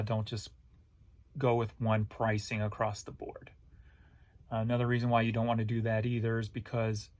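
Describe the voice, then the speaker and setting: A man's voice: medium pitch, no echo. An American man giving a casual, rambling vlog update as an online reseller, talking informally and thinking aloud in a folksy way.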